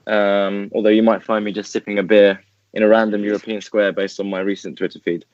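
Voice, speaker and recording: A man from England with a lowish voice, co-hosting a casual podcast in a relaxed, easygoing way. The sound is dry and close, and the audio is dull and lo-fi. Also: brisk, dry recording. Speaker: podcast co-host.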